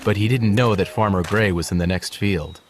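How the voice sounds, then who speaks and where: A man playing a gentle horse who narrates his life story in a measured, earnest storybook style. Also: fairly low pitch, faint music behind, close to an everyday voice. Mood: reflective.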